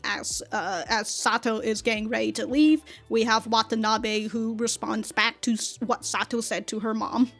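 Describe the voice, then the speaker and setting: High-voiced woman reacting casually over music. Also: fairly bright tone. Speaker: reaction video host. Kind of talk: casual anime episode review.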